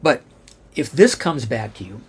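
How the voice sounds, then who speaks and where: A man's voice: mid-range voice, big pitch swings, unhurried, very noisy. A man narrating an instructional tutorial, explaining methodically step by step and spelling out letters one at a time. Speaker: instructor and presenter.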